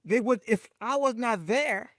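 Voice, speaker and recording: A man who is a veteran advocate, talking emphatically in a podcast conversation and telling stories with lively, faith-filled conviction. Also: a little slow, dry recording, clean studio sound. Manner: emphatic, animated storytelling, speaks of faith; rising pitch when excited.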